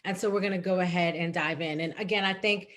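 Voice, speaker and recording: A woman's voice: fairly low pitch, fairly even intonation, quick pace, fairly bright tone. Female moderator, chatty and friendly, frequent ums and restarts, on a video call. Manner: friendly, filler-heavy, repeats herself. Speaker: forum moderator. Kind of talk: moderating a candidate forum.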